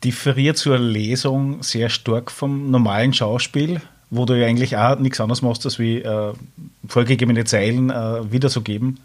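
A man hosting a podcast, asking questions in a relaxed, conversational way. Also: medium pitch, moderate pace. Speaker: podcast host. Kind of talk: casual podcast interview.

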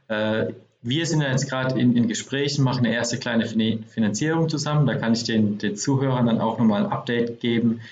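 Chatty podcast host, roomy and dull. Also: male voice, on the low side, darkish tone. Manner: summarizing, loose and chatty with fillers. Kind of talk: podcast interview. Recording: dull, roomy sound with faint noise.

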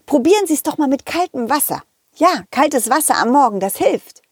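A woman's voice: high voice, very animated, normal speed, dry recording, studio quality. A woman voicing a confident, loyal male dog in a children's story, encouraging, eager and friendly.

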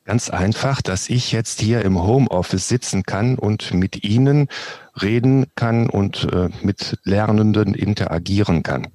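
A man's voice: lowish voice, a little slow, a little mellow, no room sound, studio quality. A man speaking as a panel guest from his home office, hesitant with many fillers.

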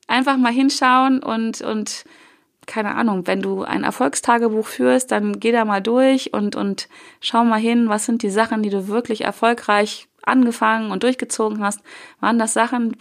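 A young woman with a cold, hosting a solo motivational podcast in a casual coaching tone, with loose fillers and the odd swear word. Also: fairly high pitch. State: has a cold. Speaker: podcast host.

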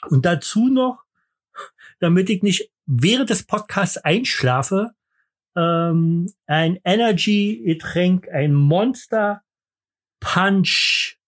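Man, high voice, rambling, joking, slightly tipsy, casual podcast chat. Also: lively intonation, slow pace. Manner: rambling, joking, drinking during the show. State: a bit tipsy. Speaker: podcast co-host. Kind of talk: boozy casual podcast banter.

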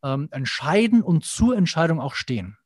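Earnest, animated man on a dry mic. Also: on the high side, moderate pace, breathy edge, no room sound. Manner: earnest, animated, acts out quoted inner voices. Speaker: podcast guest. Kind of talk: reflective conversational interview.

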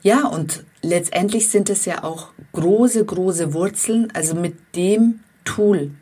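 A woman with a slight foreign accent giving a calm, earnest self-help talk, speaking directly to her listeners. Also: medium pitch, slow pace, breathy edge. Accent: non-native accent. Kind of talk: motivational monologue to viewers.

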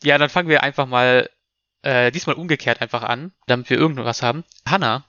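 A man hosting a discussion podcast, speaking in a measured, formal and articulate way. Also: medium pitch, pushed and bright, no echo, muffled recording. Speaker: podcast host moderating a panel. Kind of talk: scripted introduction, moderated discussion.

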